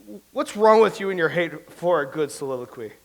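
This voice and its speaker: An actor playing a nervous, self-doubting Elizabethan playwright who stammers and speaks theatrically in a staged reading. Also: male voice, fairly high pitch, animated, a little fast, creaky, low-quality recording. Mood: indignant. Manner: theatrical, fretful, stammering soliloquy. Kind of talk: staged reading of a comedy play.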